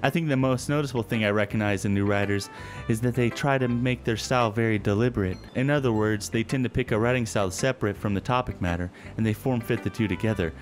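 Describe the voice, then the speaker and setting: A man voicing a shy, gloomy teenage literature club girl with a deep, dark, sullen delivery and a bookish manner. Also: faint music behind, lightly acted. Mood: earnest, expert. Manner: stammering when nervous, otherwise measured and bookish.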